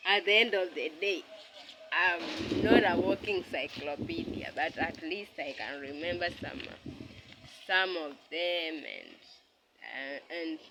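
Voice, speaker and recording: A speaker with a Kenyan accent talks slowly and haltingly, often repeating words while searching for the next one. The voice has a bright, clear edge. A faint hum of ambience sits behind the speech. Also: mid-range voice, low-quality recording. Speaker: aspiring travel vlogger. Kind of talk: casual educational talk to camera.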